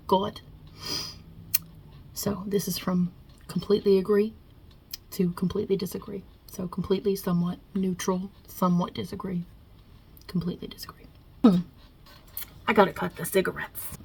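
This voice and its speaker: A woman voicing God as a wry, touchy Italian mob boss in an ASMR roleplay, with a husky, breathy voice and a put-on Italian-American New York accent. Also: medium pitch. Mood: wry, frank. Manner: casual, wry, chatty, with softly murmured asides.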